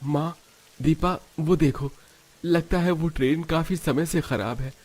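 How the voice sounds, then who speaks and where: A man plays a caring young family man facing hardship in a cartoon drama. His voice sits fairly high for a male, and he speaks with earnest, pleading sincerity. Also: unhurried, darkish tone. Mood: urgent, concerned. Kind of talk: Hindi animated family drama voice acting.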